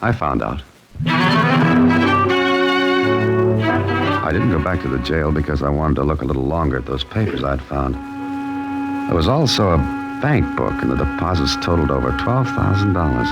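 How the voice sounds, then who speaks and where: An actor playing a wry, cool-headed amateur sleuth, with dry, clipped delivery in the style of an old-time radio mystery. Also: male voice, extremely low pitch, expressive, a little fast, over loud music, rough recording. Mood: intent, puzzling. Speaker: narrator and lead character. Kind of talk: old-time radio mystery acting, hardboiled first-person narration.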